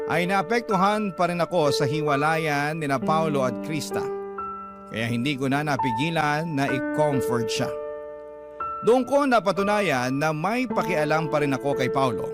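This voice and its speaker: A man with a somewhat high voice narrates a listener's love story in the first person, reading it as a heartfelt radio letter. His delivery is steady and scripted. Prominent music plays under his voice throughout. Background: loud background music.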